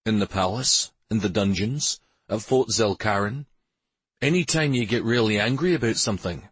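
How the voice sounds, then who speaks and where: Man playing a frank, concerned friend, husky voice. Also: fairly low pitch, moderate pace, a bit bright, dry recording. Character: loyal, frank male companion of a prince, concerned.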